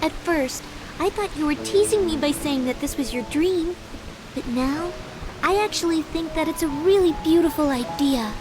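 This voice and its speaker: A soft, sincere, high young girl's voice, as a woman acts her out in a game cutscene. She speaks in a thoughtful, tender way. Clear music plays underneath. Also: normal speed, close to an everyday voice. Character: gentle earnest young girl who uses magic.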